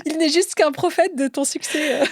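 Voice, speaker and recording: A woman hosts a podcast with a slightly higher voice, supportive and quick to joke with her guest. The sound is dry and close to the mic. She moves easily between light teasing and careful summing up. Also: dry recording. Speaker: podcast host. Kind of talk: casual podcast interview.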